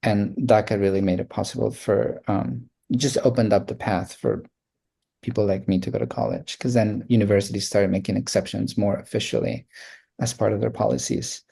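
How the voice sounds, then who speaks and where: A man's voice: fairly low pitch, steady tone, a little fast, gravelly, warm tone, slightly rough. A man in his thirties from Colombia with a Colombian accent, a guest talking reflectively in a casual interview, with many fillers and restarts. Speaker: guest artist.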